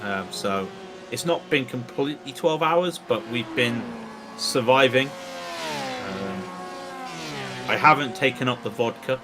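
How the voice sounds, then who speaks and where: A man's voice: mid-range voice, slow pace, pauses often, noisy background. A man doing live commentary on an online endurance race, chatty and joking between updates, his throat dry after hours on air. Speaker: sim racing commentator.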